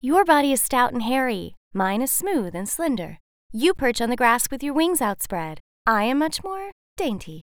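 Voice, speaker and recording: A woman's voice: on the high side, sing-song, normal speed, smooth, no room sound, studio quality, pitched above the performer's own voice. A woman voicing a dainty, proud female butterfly in a storybook, prim and a little haughty. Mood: condescending.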